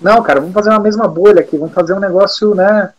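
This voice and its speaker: Husky-voiced Brazilian musician in his forties. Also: sits high, fairly even intonation, racing, dull audio. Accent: Brazilian Portuguese. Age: 40s. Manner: thoughtful, many fillers and restarts, reflective. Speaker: music teacher and guest musician. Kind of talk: casual interview talk.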